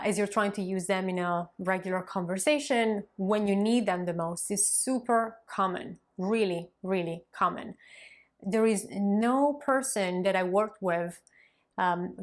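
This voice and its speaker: A woman, a language coach in her thirties, talking straight to her viewers in an encouraging, conversational way. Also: medium pitch. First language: Italian. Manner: warm, encouraging, conversational with occasional fillers. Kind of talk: direct-to-camera coaching talk.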